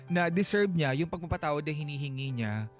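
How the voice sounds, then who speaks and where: High-pitched Filipino man giving radio advice. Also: lively intonation, fairly quick. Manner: emphatic, playful, Taglish code-switching, rhetorical tag questions. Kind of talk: casual radio advice talk. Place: radio studio. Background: background music.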